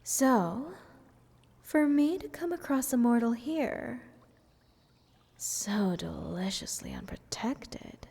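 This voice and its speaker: A woman voices a possessive goddess of stars in a fantasy audio drama. She speaks very slowly and teasingly, with a breathy softness that slips into whispers. Her condescending words ring out in a long, hall-like reverb. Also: on the high side, wildly swooping pitch, exaggerated voice. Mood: possessive, gloating. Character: playful, selfish, possessive goddess of stars toying with mortals. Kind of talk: scripted fantasy audio roleplay addressed to the listener.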